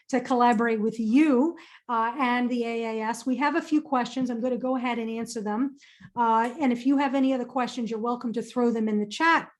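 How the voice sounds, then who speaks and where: A woman presenting a career webinar and answering audience questions in an upbeat, emphatic way, often repeating phrases for stress. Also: sits high.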